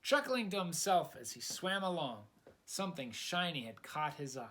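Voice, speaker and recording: A man reading a children's story aloud with dramatic, expressive narration aimed at young listeners. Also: a little high, normal speed. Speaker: storytime reader.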